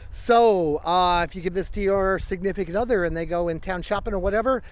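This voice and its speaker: A man in his fifties giving a casual hands-on gadget review, plain-spoken and thinking out loud as he goes. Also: high-pitched, fairly quick. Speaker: product reviewer, vlogger. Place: living room and outdoors in a backyard by a canal. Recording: clear background noise, ambient sound.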